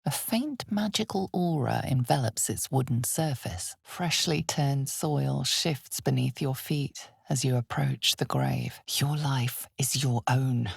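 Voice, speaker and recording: A woman voicing an ominous, knowing narrator of a dark fantasy game, speaking in a measured, dramatic, often hushed way. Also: a bass voice, vocal fry, husky, dry recording, studio quality, full character voice. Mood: knowing, grave.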